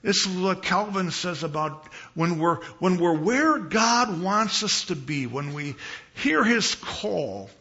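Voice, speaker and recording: A man from Chicago preaching as a visiting pastor in a church, with a big voice and an unhurried, conversational manner, pausing and repeating phrases for emphasis. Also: high-pitched, expressive, sharp tone, highs cut off. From Chicago, United States. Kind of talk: conversational sermon. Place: church sanctuary.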